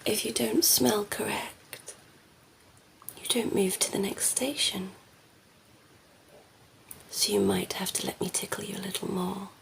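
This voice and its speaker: A woman playing a cheeky, flirtatious female vampire in a soft, teasing ASMR roleplay, often slipping into whispers. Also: mid-range voice, fairly even intonation, a little slow, creaky, long pauses, rough recording. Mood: coaxing.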